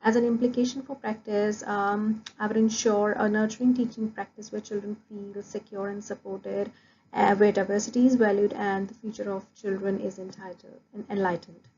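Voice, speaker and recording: Woman student, warm low-key tone, steady listing delivery, dull lo-fi recording. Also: moderate pace. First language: Punjabi. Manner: steady, listing points with frequent "uh" fillers. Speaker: student presenting an assessment. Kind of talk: prepared academic presentation. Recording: dull lo-fi audio with faint noise.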